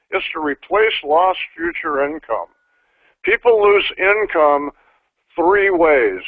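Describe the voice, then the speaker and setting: A man's voice: fairly high pitch, no echo. An older man reading a book chapter aloud to insurance agents, breaking off into folksy asides and restarts. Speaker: insurance trainer. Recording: tinny phone-like dry sound.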